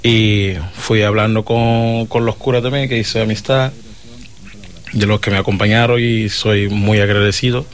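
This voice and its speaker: A man from Verde, a seminarian, telling his vocation story with many pauses and humming fillers. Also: on the low side, steady tone. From Cape Verde. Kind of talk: personal testimony.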